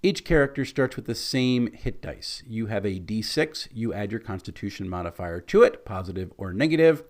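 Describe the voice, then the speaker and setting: A man with a scratchy, hoarse voice, casually reviewing a tabletop game book. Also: fairly low pitch, lively intonation, moderate pace. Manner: conversational, mildly critical.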